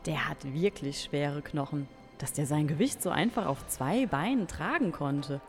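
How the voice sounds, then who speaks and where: Actress for playful, inquisitive female sidekick, children's radio play, very animated intonation, reverberant space, faint music. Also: low-pitched, a little slow. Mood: observant. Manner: expressive, playful. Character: curious, teasing female companion at a museum. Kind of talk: children's educational audio drama. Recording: echoey hall with music behind.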